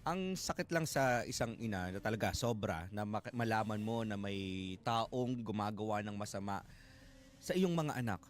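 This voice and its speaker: A man's voice: on the low side, lively intonation, moderate pace. A man with a Filipino accent speaking as a co-host, commenting conversationally on a guest's story. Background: music.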